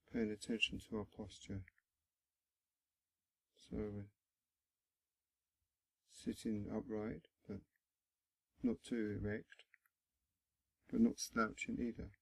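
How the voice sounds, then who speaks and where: A man guiding a body scan meditation in a gentle, instructional manner, pausing often between short phrases, with a low, husky, creaky voice. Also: level tone, dry recording, rough recording. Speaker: meditation guide.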